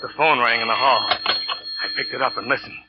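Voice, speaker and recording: An actor playing a scheming, vengeful lover in a 1940s radio thriller, narrating in a tense, hard-boiled way. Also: male voice, medium pitch, fairly even intonation, moderate pace, close to an everyday voice. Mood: desperate, tense. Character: scheming, vengeful male lover in a murder plot, bitter and desperate. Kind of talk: 1940s radio suspense acting, first-person narration. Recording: dull old radio recording with music.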